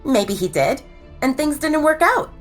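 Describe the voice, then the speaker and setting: A young female werewolf, chatty and persuasive, who teases and flatters her friend. The voice sits high and swoops about lively. Soft music hums behind her in this audio drama. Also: a little slow. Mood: reassuring. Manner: eager, persuasive, teasing. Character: gushing young woman werewolf, the bride's friend.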